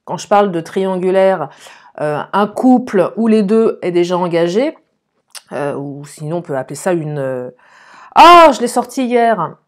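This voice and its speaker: A slightly hoarse woman gives an informal love card reading, chatting to viewers and thinking aloud. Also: fairly low pitch, animated, a little slow. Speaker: online love card-reading guide and host.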